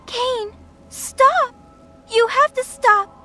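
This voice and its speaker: Actress as a very shrill, pleading young mother. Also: very slow, bright, forceful tone, slight background noise, heavily acted. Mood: desperate pleading. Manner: pleading, breathless, urgent. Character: frantic young mother pleading to stop a killing. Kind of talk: audio drama acting.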